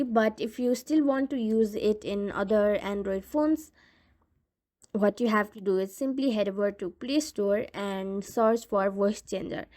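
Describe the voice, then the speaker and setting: A woman's voice: mid-range voice, a little mellow. A woman hosting a how-to video, narrating step-by-step tech instructions in a scripted style. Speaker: tutorial host.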